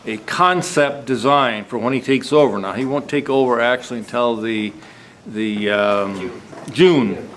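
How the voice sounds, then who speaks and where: Male meeting chair, mid-pitched, casual and halting, speaking to a room with some background noise. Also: fairly bright tone. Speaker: club meeting host. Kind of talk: informal meeting announcements. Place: meeting room, before a group. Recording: noisy live meeting room recording.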